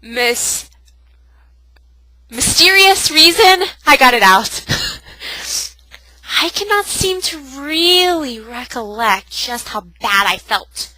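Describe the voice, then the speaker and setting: A woman's voice: high-pitched, animated, takes it slowly, long pauses, pushed and bright, no room sound, poor audio. A young woman with a cold, sounding nasal and sniffly, chatting in a casual, self-mocking home vlog. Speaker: vlogger. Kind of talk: casual pregnancy update vlog.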